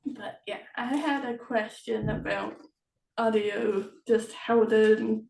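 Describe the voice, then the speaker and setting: Slow-talking woman, far from the mic. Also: fairly high pitch, steady tone, gravelly, a little mellow, a little hiss or hum. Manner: hesitant, laughs often between phrases. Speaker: author and interview host. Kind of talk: casual interview chat. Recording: roomy, distant mic.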